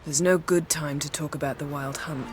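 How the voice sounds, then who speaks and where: Woman voicing a brisk, haughty sorceress. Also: deep voice, steady tone, natural voice. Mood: composed. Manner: clipped, commanding, self-assured. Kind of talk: video game cutscene acting. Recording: music under the voice.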